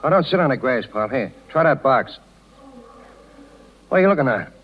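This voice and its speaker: A man's voice: medium pitch, big pitch swings, normal speed. A blunt, loyal circus canvas man talks in slangy, working-class 1930s radio style. He is protective and plain-spoken. The sound is the dull band of an old broadcast. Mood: concerned, gentle. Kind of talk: 1930s radio drama acting.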